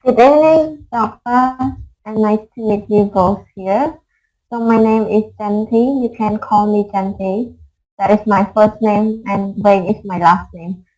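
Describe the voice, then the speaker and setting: A woman from Cambodia, a high school mentor, politely introducing herself on a video call. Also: darkish tone, faint music behind, low-quality recording. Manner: polite, measured self-introduction.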